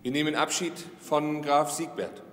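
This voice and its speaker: An actor playing a solemn clergyman giving a measured blessing in a radio drama. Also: on the high side, fairly even intonation, a bit bright, close to an everyday voice. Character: solemn male clergyman at a funeral. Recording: long echoey church-like reverb, faint noise.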